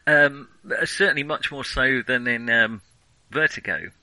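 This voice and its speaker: A man hosting a podcast and casually discussing films, in a halting, hesitant way that often doubles back on itself. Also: medium pitch, sharp tone, no room sound, low-quality recording. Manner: halting, hesitant, frequent self-interruptions. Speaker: podcast host.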